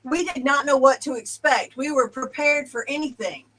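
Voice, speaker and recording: Lively guest woman telling stories. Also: sits high, normal speed. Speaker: podcast guest, church leader. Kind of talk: casual interview chat. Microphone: remote video call.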